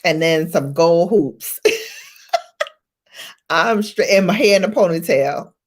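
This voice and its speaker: A woman's voice: lowish voice, animated, slow. A woman from Brooklyn with a Brooklyn accent, hosting a casual livestream, chatting and laughing a lot with her viewers. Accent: Brooklyn New York. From Brooklyn, New York. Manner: chatty, laughs often, greets viewers by name. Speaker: livestream host. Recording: faint music behind.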